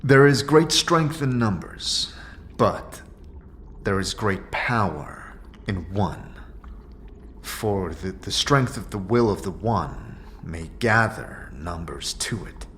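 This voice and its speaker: A man's voice: on the low side, animated, slow pace, a bit bright, a little hiss or hum. A man playing a grave, stoic otherworldly warrior monk in a fantasy audio drama, speaking solemnly in formal, archaic phrasing. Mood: solemn, teaching.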